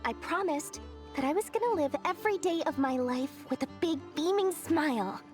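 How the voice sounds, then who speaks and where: A small video game merchant girl, money-minded and full of herself. The voice is high and swoops around with sly, theatrical flair, over clear background music. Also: expressive, moderate pace, a little mellow. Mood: earnest. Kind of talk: animated video game character acting.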